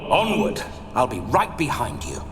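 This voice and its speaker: A man's voice: medium pitch, wildly swooping pitch, measured pace. An actor playing the boisterous, lecherous ghost of a dead nobleman in a video game, speaking in a swaggering, theatrical, archaic lordly way. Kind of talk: video game character acting. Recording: music behind the voice.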